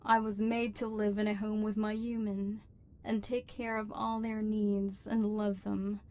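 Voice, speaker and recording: A woman's voice: mid-range voice, little pitch movement, unhurried, a little mellow, close to an everyday voice. A woman voicing an embittered, lonely robot overlord in an ASMR roleplay, with formal, precise diction and hurt tenderness underneath. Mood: wounded, wistful. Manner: formal, precise, stilted diction with wounded feeling. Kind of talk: scripted ASMR roleplay acting.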